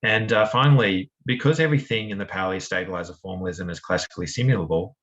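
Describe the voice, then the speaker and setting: A man's voice: deep voice, fast talker, fairly bright tone, muffled recording. A man with a strong Australian accent giving a friendly academic seminar talk, with frequent fillers and restarts. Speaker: lecturer.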